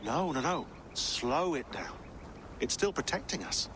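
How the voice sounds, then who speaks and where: A wry, talkative man explains things as a modern team expert in a game cutscene. His delivery is animated and conversational, with clear noise behind. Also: on the high side, measured pace. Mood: urgent, cautioning. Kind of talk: naturalistic game cutscene acting.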